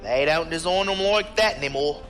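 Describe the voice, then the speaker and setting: A man's voice: on the high side, moderate pace, music in the background, close to an everyday voice. A man playing a wry, casual starship crewman in a sci-fi audio drama.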